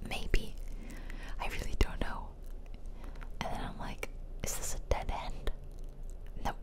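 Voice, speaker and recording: Slow whispering woman, ASMR game host. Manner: casual, chatty asides to viewers. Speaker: gaming video host. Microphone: close-up microphone, ear-to-ear whispering.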